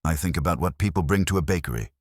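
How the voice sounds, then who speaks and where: A man's voice: low voice, lively intonation, racing, no room sound, clean studio sound. A man voicing a wry, wisecracking private detective in an audiobook. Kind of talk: audiobook character dialogue.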